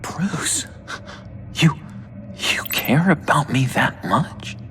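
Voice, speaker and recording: An actor playing an unhinged, giddy young male villain in a video game, clingy and teasing, with a theatrical, drawn-out delivery full of halting pauses. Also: a little high, expressive, fairly bright tone, soft background music, heavily acted. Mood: giddy, needy.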